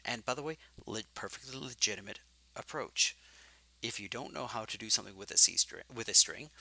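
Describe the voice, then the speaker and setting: A man teaching programming in a live coding lecture, thinking aloud and correcting himself as he goes, his voice growing hoarse from long talking. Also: medium pitch, pushed and bright, poor audio. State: getting hoarse.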